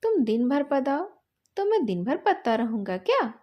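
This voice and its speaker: A woman's voice: high-pitched, big pitch swings, measured pace, lo-fi sound. A woman voicing a bossy, stubborn village boy in a story, insistent and scolding as he argues. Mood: indignant. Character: thin, stubborn, bossy village boy demanding his turn.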